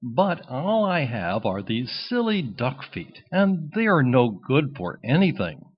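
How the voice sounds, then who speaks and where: A man's voice: medium pitch, very animated, slow pace, slightly rough, dull audio, exaggerated voice. A man voicing a plucky, naive little duckling boy in a children's storybook, eager and pleading. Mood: nervous, pleading.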